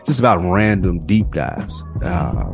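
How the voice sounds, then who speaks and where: Low-voiced man in casual podcast banter. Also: expressive, unhurried, soft background music. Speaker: podcast co-host. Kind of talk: casual banter and debate between friends.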